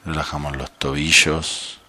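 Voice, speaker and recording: A man leading a guided meditation as a coach, speaking calmly and without hurry, with long pauses between short instructions. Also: extremely low pitch, slight background noise. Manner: calm, unhurried, leaves long pauses.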